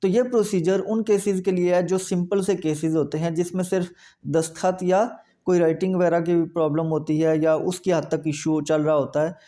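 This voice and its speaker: A man's voice: high-pitched, flat delivery, normal speed, warm tone. A man with a Pakistani accent giving an explanatory legal lecture, going through it methodically step by step and repeating points.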